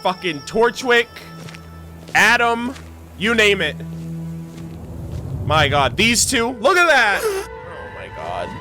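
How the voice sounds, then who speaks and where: A man's voice: high voice, huge pitch swings, slow pace, long pauses, over music. A man hosting a reaction video, giving casual, slangy commentary full of exclamations.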